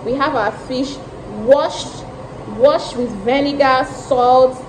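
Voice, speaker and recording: A woman's voice: sits high, fairly even intonation, takes it slowly. A woman in her thirties, a cooking vlogger, chatting straight to viewers as she walks through a recipe at home. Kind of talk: casual cooking walkthrough. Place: home kitchen. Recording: echoey kitchen with background noise.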